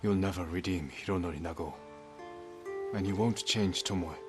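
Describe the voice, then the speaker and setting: An actor playing a grave, resolute young samurai warrior in a video game, speaking in a terse, measured, stoic way, with music behind him. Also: male voice, low voice, lightly acted. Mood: grave, steady. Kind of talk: dramatic video game voice acting.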